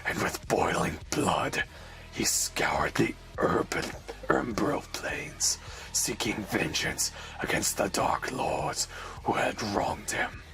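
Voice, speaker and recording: A man voicing an ominous narrator of a dark fantasy legend, reading gravely in a rough, breathy whisper. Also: lowish voice, expressive, over loud music, exaggerated voice. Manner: slow, grave, dramatic reading.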